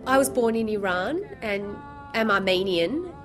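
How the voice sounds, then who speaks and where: A woman in her thirties, an author and theatre director, speaking in a radio interview in an earnest, reflective way with soft hesitations. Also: mid-range voice, lively intonation, measured pace, faint music behind. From Australia. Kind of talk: radio interview, then reading her children's book aloud.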